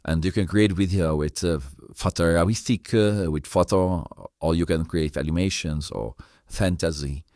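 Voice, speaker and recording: A man hosting a casual interview, speaking haltingly and often repeating and rephrasing his words. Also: low voice, darkish tone, no echo, clean studio sound. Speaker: interviewer, podcast host.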